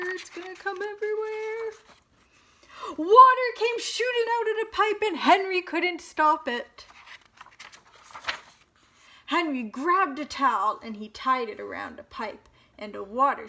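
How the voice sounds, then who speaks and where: A woman with a high, bright voice reads a children's picture book aloud. She takes her time and pauses for drama, telling it expressively as a storytime host for young listeners.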